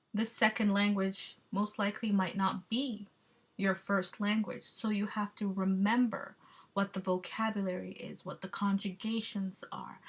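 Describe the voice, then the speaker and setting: A woman giving a measured, explanatory class presentation, with the odd filler. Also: medium pitch. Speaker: student presenter.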